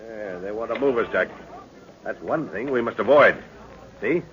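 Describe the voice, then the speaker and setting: An actor playing an older, fatherly war commander, bold and gruffly affectionate, with a gravelly voice in old-time radio adventure style. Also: fairly low pitch, lively intonation, moderate pace, fairly bright tone. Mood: commanding. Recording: muffled, noisy old radio recording.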